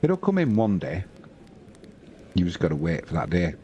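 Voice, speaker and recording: A man in his fifties with a British accent, hosting a live football stream, chatting slowly and reading out scores in an unhurried, relaxed way. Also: sits low, dull audio, slight background noise. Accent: British English. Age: 50s. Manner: slow, unhurried, chatty, reads scores aloud. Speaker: football commentator and host.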